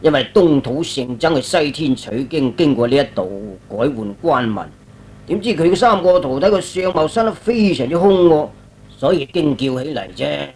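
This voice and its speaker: A man's voice: on the high side, lively intonation, moderate pace, darkish tone, a little hiss or hum, pitched below the performer's own voice. A man playing a courteous but timid, fussy king in a Cantonese radio drama, speaking formally. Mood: flustered. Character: courteous but easily frightened middle-aged king.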